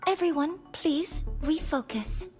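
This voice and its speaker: High-voiced, prim teacher. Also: female voice, lively intonation, slow, darkish tone. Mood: patient, instructive. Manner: patient, instructive, formal. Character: patient, prim female teacher in a classroom. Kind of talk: video game voice acting. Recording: game music behind.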